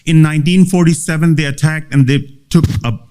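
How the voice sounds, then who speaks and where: A man's voice: a little high, steady tone, a little fast, a little mellow, clear, resonant tone. A man from Gilgit-Baltistan whose first language is Tibetan, giving an earnest seminar talk with frequent fillers and restarts. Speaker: seminar speaker. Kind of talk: impassioned public talk.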